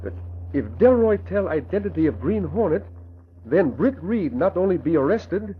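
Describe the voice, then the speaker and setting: A man's voice: high voice, big pitch swings, moderate pace. A man playing a loyal, anxious valet and driver in an old radio drama, speaking clipped broken English with dropped articles. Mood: worried, earnest. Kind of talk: 1940s radio acting. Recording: muffled, tinny old radio recording, faint hiss.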